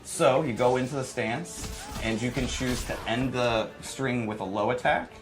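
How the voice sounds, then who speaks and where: A man's voice: mid-range voice, moderate pace, over music, rough recording. A man co-hosting a livestream, casually and playfully talking through a fighting game demonstration. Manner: animated, playful, exclaiming. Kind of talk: casual gameplay demonstration commentary.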